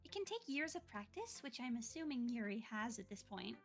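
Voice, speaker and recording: A woman acting as a schoolgirl club president from a visual novel, with a very high, animated voice. She is confident and encouraging, coaching in a chirpy, reassuring way over faint music. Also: a little fast. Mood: explaining. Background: game music.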